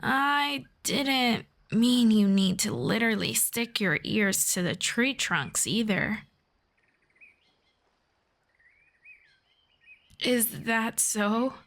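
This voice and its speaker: A woman voicing a gentle, teasing young elf friend in an unhurried fantasy roleplay audio drama in ASMR style. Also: fairly high pitch, lively intonation, full character voice. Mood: amused, teasing.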